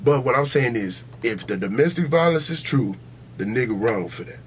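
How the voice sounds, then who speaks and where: A man's voice: medium pitch, expressive, moderate pace, slight background noise. An American man hosting a podcast in a casual, opinionated debate, blunt and profane, repeating himself for emphasis. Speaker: podcast host.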